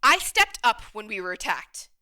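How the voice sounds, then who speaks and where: A woman's voice: mid-range voice, very animated, moderate pace, bright, forceful tone. A woman playing a bossy, blunt, hard-nosed restaurant manager in a radio play. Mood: argumentative. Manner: blunt, assertive.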